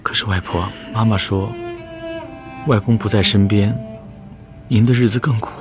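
A man's voice: on the low side, steady tone, normal speed, lightly acted. A man playing an attentive, respectful grandson who narrates his family's past in a scripted radio drama. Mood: gentle, curious. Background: cello and string music.

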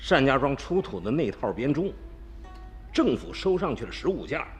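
A man's voice: medium pitch, big pitch swings, moderate pace, faint music behind, close to an everyday voice. A man playing a sly tomb-raider boss. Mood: scheming. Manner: sly, haggling, confident. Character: scheming male tomb-raider gang boss, middle-aged. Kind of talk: radio drama acting.

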